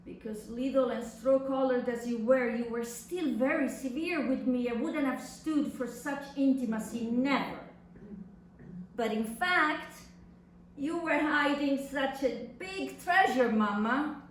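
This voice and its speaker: A woman's voice: sits high, measured pace. A woman performing a theatrical monologue as an incredulous grown daughter, dramatic and exclaiming, lingering on words. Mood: tender reproach. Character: incredulous grown daughter reading her mother's secret love letters. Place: echoey room at a live public reading. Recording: echoey room from far off, faint noise.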